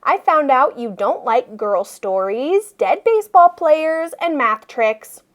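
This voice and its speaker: A woman voicing an enthusiastic schoolteacher who is fond of her pupils and speaks in emphatic, deliberate lists. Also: high voice, lively intonation. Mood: knowing, excited.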